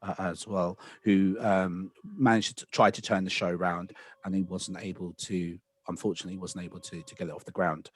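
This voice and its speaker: A man speaking as a panellist in a casual discussion, in a halting, wordy, roundabout way. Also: deep voice, flat delivery, breathy edge, dry recording.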